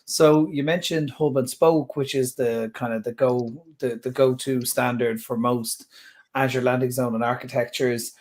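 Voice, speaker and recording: A man's voice: mid-range voice, fairly even intonation, normal speed, darkish tone. Chatty man hosting a webinar. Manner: chatty, enthusiastic, stumbles on words. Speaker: user group host. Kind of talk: casual webinar Q&A moderating. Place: home office, indoors.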